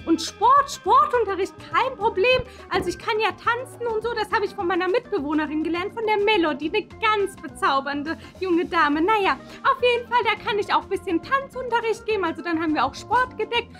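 A woman's voice: extremely high pitch, lively intonation, a little fast, few pauses, pushed and bright, soft background music, heavily acted. A woman playing a gushing, esoteric job applicant in a comedy sketch, rambling airily and drawing out her words. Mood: eager, dreamy. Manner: effusive, rambling, airy, draws out words. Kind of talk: improvised comedy sketch acting.

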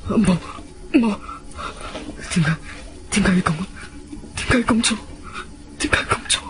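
An actor playing a frightened, timid young man with a Cantonese accent, pleading in halting, repeated phrases in a radio drama. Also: high voice, noisy background, close to an everyday voice. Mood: panicked pleading.

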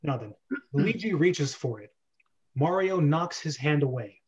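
A man's voice: on the high side, animated, measured pace, darkish tone. A young man hosting a script reading club, reading stage directions and chatting casually. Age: young adult. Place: bedroom on a video call. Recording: dull lo-fi webcam audio.

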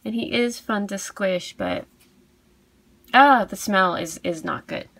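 A woman giving a casual unboxing review as a video host, cooing softly over cute things. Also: medium pitch, lively intonation, slow pace, vocal fry, no echo, rough recording. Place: indoors at a tabletop.

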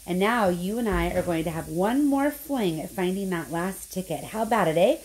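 A woman voicing an excitable old grandfather from a storybook, sly and nervous, speaking in a conspiratorial way. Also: lowish voice, normal speed, natural voice, poor audio. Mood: hushed, conspiratorial. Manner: hushed, conspiratorial, spluttering when excited.

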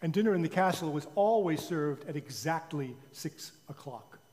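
A man telling a children's story live in a theatrical way, with deliberate pauses. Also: fairly high pitch, lively intonation, darkish tone. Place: large church sanctuary. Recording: reverberant church hall.

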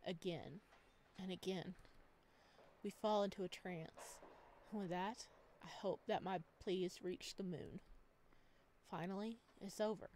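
A woman reading a visual novel aloud, switching between calm narration and animated, giggly asides to her viewers. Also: medium pitch. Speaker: let's play video creator.

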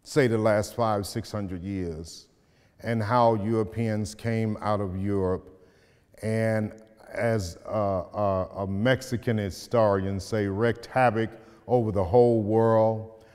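A middle-aged man telling stories and reciting his poetry with slow, deliberate phrasing and dramatic pauses, recorded by a distant camera in a large hall. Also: on the low side, fairly even intonation, clean tone. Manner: slow, deliberate, dramatic pauses, rising to loud emphasis in verse. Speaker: poet and community speaker. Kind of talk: storytelling talk and spoken-word poetry. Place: large bright hall with tall arched windows, indoors. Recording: hall reverb from a large room.

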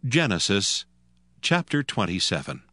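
Low, expressive male scripture narrator. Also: dry recording, studio quality. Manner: measured, formal storytelling.

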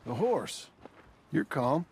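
An actor playing a patient middle-aged American cowboy ranch hand in a video game, with a gravelly voice and a reassuring drawl. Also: male voice, fairly high pitch, sing-song, slow, darkish tone, a little hiss or hum. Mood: calm, reassuring. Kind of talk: naturalistic video game cutscene acting.

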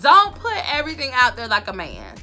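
A woman, giving sassy, emphatic advice to camera in her bedroom, repeating her points, with a loud voice that carries. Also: high-pitched, wildly swooping pitch, a little fast, faint music behind. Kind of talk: casual advice talk to camera. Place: bedroom, indoors.

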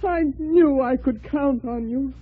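Anxious, high-voiced gallant spoon. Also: female voice, unhurried, slight background noise. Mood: anxious, urgent. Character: anxious, gallant talking salad spoon, male. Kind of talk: old-time radio melodramatic acting. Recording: tinny old radio recording.

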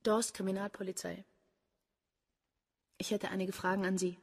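An actress playing an insistent, sardonic police detective in a crime radio drama, questioning in a dry, pointed way. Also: female voice, mid-range voice, level tone, normal speed, gravelly, slightly rough. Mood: dry, ironic. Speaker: actor in a crime radio play. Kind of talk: German radio drama acting.

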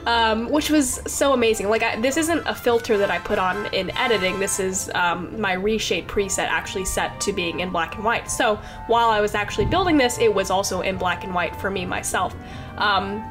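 A woman's voice: medium pitch, moderate pace, over music. A young woman with a stuffy, congested voice from a cold, chatting casually and rambling as she narrates her game build, with lots of fillers and little laughs. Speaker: Sims speed-build creator.

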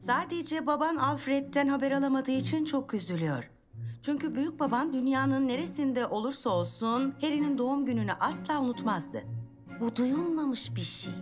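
A woman's voice: sits high, expressive, moderate pace, music in the background. A woman playing a worried, caring mother in a dubbed children's cartoon, speaking with emotion. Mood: worried, wistful. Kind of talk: dubbed children's animated series.

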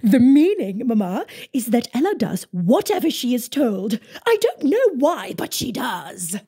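A woman's voice: a little high, very animated, a little slow, mellow, slightly rough, heavily acted. A woman voicing a smug, spiteful young stepsister with a sly, sugary manner in an audiobook.

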